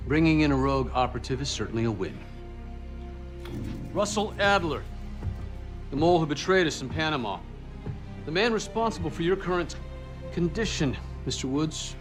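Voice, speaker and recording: A man's voice: a little high, big pitch swings, moderate pace, pauses often. An actor playing a stern male intelligence chief in a game cutscene, sardonic and probing as he questions his agents. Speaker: superior officer. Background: game score music.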